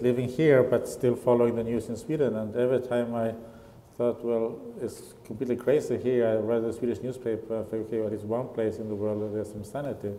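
Dark-voiced panelist in an echoey hall. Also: male voice, medium pitch, slight background noise. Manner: measured, thoughtful, with frequent ums. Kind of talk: panel discussion answer. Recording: echoey hall with long reverb.

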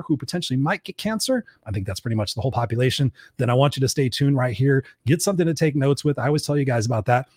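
A hoarse man hosts his live podcast while fighting a cold, driving his points home like a pep talk. He talks at a rapid clip. The sound is close and dry, with no room around it. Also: mid-range voice, dry recording. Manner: fast, energetic pep-talk delivery. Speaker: podcast host.

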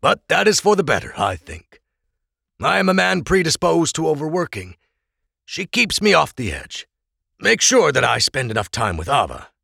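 A weary, protective rebel-leader father speaks earnestly as a man reads him. His voice is gravelly and sits higher than the narration, with a lively swing in pitch. It comes through dry and close for a storybook reading. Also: normal speed, no echo, full character voice. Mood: reflective.

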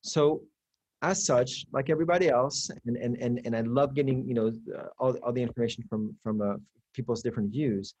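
A man hosts an interview in a husky, slightly raspy voice, in a middle pitch. He repeats "and, and" and restarts his sentences as he frames his questions. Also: a little fast, a little mellow, low-quality recording. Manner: stammering restarts, repeated words. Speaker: podcast host.

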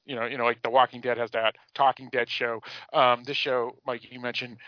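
A man with an American accent hosting a casual discussion podcast about a TV show, speaking in a rambling, halting way with repeated words. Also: medium pitch, level tone, no room sound, lo-fi sound. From Massachusetts, United States. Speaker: podcast host.

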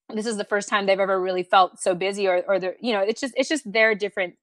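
Woman host, mid-pitch, affirming conversational tone, dry recording. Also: dry recording. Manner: warm, agreeable, reflective with restarts. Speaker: podcast host. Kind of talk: casual chat.

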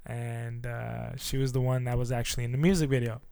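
A man hosting his own podcast in a relaxed, casual chat, with lots of fillers and loose phrasing. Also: mid-range voice, a little fast, gravelly, clear, resonant tone, no echo. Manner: laid-back, filler-heavy, says "touch base" a lot. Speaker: podcast host.

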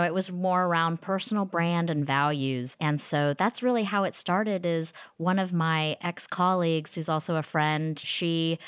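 A woman's voice: low voice, smooth, no room sound. A woman in her thirties from Kansas, a podcast guest speaking earnestly and reflectively about her experiences. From Kansas, USA. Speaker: guest, consulting managing director. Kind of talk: podcast interview. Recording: tinny phone-like line, dry.

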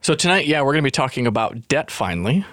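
A man's voice: medium pitch, big pitch swings, quick pace, a bit bright, no room sound, clean studio sound. A young adult man, co-hosting a podcast in casual, joking chat with his partner and laughing often. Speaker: podcast co-host.

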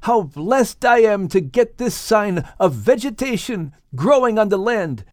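A man's voice: high-pitched, big pitch swings, measured pace, no echo, studio quality, voice pushed up. A man voicing a joyful, earnest father figure and patriarch of a family. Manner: exclamatory, earnest.

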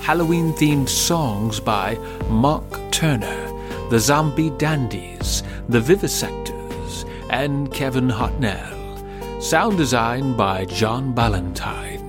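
Male announcer, slow delivery, gravelly voice, scripted credits, music behind. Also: mid-range voice, animated.

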